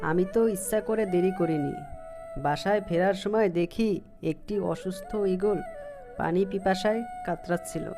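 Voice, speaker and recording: A woman's voice: mid-range voice, a little slow, natural voice. A woman voicing a kind, earnest little male songbird in a cartoon story. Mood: explaining, caring. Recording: music behind the voice.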